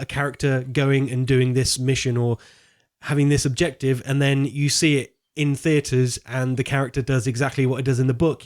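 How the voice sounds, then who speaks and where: A man with a mid-pitched voice talks as a podcast co-host in casual conversation. He works through his opinions out loud, wandering a little as he goes, in an informal register. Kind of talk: casual film discussion chat.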